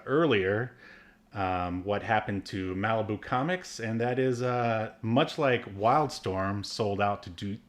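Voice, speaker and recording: A man hosting a podcast, chatting casually and rambling with frequent fillers. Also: on the low side. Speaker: podcast host. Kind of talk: casual chat.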